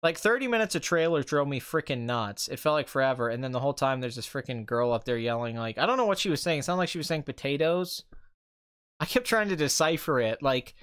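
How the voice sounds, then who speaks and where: A man with a mid-pitched, clear voice hosts a live stream in a casual, conversational way. He rambles through his opinions informally. His throat sounds slightly strained, as if he has been talking for a long time. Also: expressive, quick pace, fairly bright tone. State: voice tiring and strained after two hours of talking. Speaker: live stream host, film commentator.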